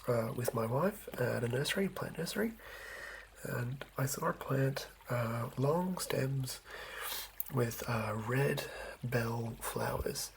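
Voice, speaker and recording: A man whispering softly as he rambles through building a tabletop role-playing character, with lots of fillers and pauses. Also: mid-range voice, vocal fry, a little hiss or hum, low-quality recording. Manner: soft unvoiced whispering, rambling with many fillers; writes by hand as he talks. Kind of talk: whispered ASMR chat.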